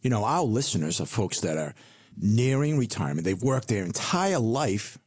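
A man with a lowish voice co-hosts a retirement radio show and gives money advice in a conversational, reassuring way. He leans hard on the key numbers as he explains them. The sound is close and dry. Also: big pitch swings, normal speed, a little mellow, breathy edge, no room sound. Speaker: financial advisor co-host. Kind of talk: radio finance talk.

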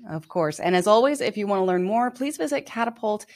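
A woman's voice: medium pitch, expressive, fairly quick, darkish tone. A woman podcast host speaking in a polite, conversational wrap-up style.

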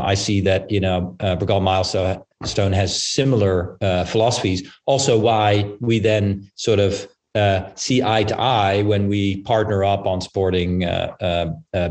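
A man giving considered business answers as an interview guest, explaining at length with pauses. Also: lowish voice, darkish tone. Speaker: investment firm partner, podcast guest.

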